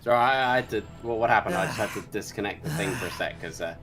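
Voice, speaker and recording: A man chatting casually in a halting way, with dry joking. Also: on the low side. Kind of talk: casual chat. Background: faint music.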